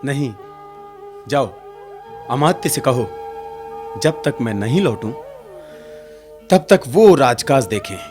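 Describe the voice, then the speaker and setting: Animated, commanding warrior king. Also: mid-range voice, takes it slowly, pauses often, faint music behind. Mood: courteous, authoritative. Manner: declamatory, regal. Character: noble, commanding warrior king, protective of his friend. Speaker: actor in a radio play. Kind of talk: theatrical Hindi radio drama acting.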